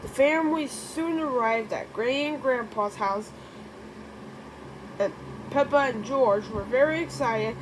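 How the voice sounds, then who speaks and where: High-pitched, unhurried female storybook reader. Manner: halting, self-correcting reading. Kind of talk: storybook read-aloud with informal asides. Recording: clear background noise.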